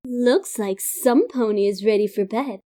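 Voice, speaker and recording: A woman's voice: on the high side, measured pace, mellow, lightly acted. A woman voicing a friendly, easily startled cartoon pony nurse in an audio story, sweet and fussing. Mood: kindly. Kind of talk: dramatic fan fiction audio reading.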